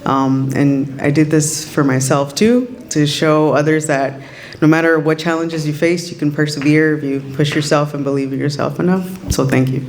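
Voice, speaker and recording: A young woman, a student, giving a short, halting, nervous dedication speech. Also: low voice, fairly even intonation, background noise. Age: young adult. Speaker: student honoree.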